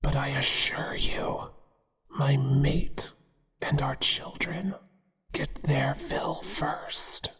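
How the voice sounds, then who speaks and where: A performer voicing a possessive male spider monster, a devoted but menacing lover, in a hushed ASMR whisper with a crooning, deliberate delivery. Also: mid-range voice, steady tone, husky, full character voice. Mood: possessive, pleading. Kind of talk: whispered ASMR roleplay acting. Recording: long reverberant echo.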